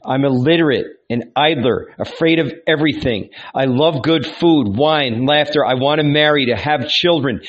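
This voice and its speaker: A man's voice: medium pitch, moderate pace, few pauses, close to an everyday voice. A man voicing a tormented young carpenter who pleads and argues in anguished outbursts, on a dull lo-fi recording.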